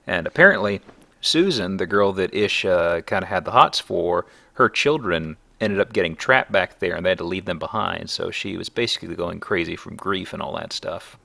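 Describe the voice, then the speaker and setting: Male let's play host: deep, fry-edged voice, casual and wry gaming commentary. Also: animated, normal speed, pushed and bright.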